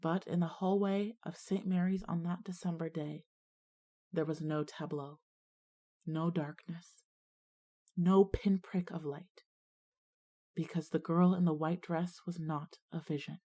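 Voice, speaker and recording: A woman acting as an earnest schoolgirl visionary, narrating her own ghost story in a measured way for an audio drama. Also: on the low side, fairly even intonation, dark tone. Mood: solemn, hushed. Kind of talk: scripted first-person dramatic narration.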